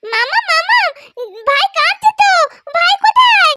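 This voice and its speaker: A woman's voice: very high voice, sing-song, measured pace, heavy background noise, voice pushed up, exaggerated voice. A woman voicing an eager, sweet little village girl in a doll story, with childlike exclamations. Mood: alarmed.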